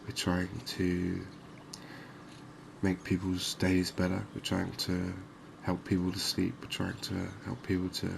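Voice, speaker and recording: A soft-spoken man giving unhurried, soothing ASMR commentary over a video game. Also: low-pitched, completely flat delivery, creaky, background noise. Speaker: gaming video host.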